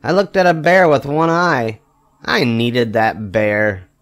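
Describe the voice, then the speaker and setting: An older man reading a children's picture book aloud expressively, with emphatic phrasing and drawn-out wry punchlines. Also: medium pitch, a bit bright. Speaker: storybook reader.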